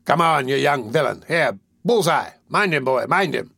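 A man performs a brutal storybook ruffian. He is a grown man who bullies and menaces. His delivery is gruffly commanding. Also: on the high side, expressive, moderate pace, pushed and bright, dry recording, clean studio sound, exaggerated voice. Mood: threatening. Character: brutal, bullying adult male ruffian with dog.

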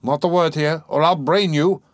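A man voicing a flustered, excitable young man who stammers and blusters in a dramatised audiobook reading. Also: mid-range voice, normal speed, no room sound, pitched above the performer's own voice. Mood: indignant. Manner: stammering, exclamatory, indignant.

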